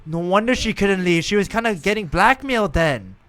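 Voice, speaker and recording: Excitable, lively-voiced male streamer. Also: high voice, a little fast. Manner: excitable, thinks aloud, reacts loudly. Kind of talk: casual gameplay commentary.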